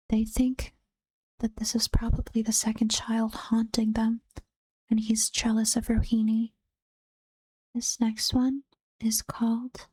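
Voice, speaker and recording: A woman reads creepy tales in a hushed, half-whispered ASMR voice, pitched a little high. Her tone stays dark and breathy, with almost no rise or fall. The sound is dry and close, with no room around it. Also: no room sound, poor audio. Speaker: vtuber reading Reddit ghost stories. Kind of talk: soft-spoken ASMR story reading.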